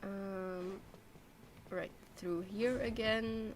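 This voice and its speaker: A woman's voice: mid-range voice, fairly even intonation, very slow, a little hiss or hum, rough recording. A woman game streamer reacting casually as she plays. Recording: faint game sound behind.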